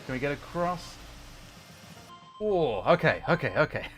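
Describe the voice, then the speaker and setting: A man's voice: on the high side, big pitch swings, measured pace, a bit bright. Man chatting casually over music. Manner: thinking aloud, reacting in short bursts. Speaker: livestream host, VTuber. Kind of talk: casual livestream chat while playing a racing game.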